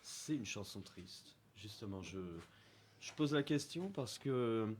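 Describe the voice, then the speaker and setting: A man hosting a radio interview, asking long questions with bursts of loud emphasis. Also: medium pitch, expressive, slow. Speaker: radio host. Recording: noisy line with clicks.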